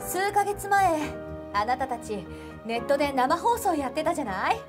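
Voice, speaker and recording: A woman playing a cool, scheming high school club president in an anime radio drama, matter-of-fact and teasing. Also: sing-song, normal speed, over music. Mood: composed.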